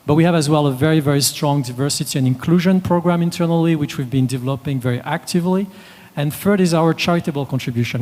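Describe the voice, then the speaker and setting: A man on a conference panel, giving a measured, businesslike presentation point by point. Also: medium pitch, a little mellow. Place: conference hall. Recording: long reverb of a conference hall.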